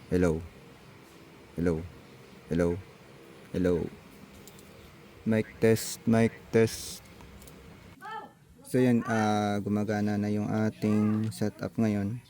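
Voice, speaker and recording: A man's voice: lowish voice, little pitch movement, takes it slowly, pauses often, dark tone. A man with a Filipino accent giving a casual hands-on gear demonstration, thinking aloud in a tentative way. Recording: faint background noise.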